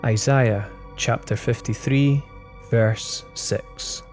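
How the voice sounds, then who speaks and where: Man, scripture reader, solemn, measured pacing, slow delivery, faint instrumental music. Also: medium pitch. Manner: measured, deliberate phrasing. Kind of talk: slow, solemn Bible reading. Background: soft instrumental music.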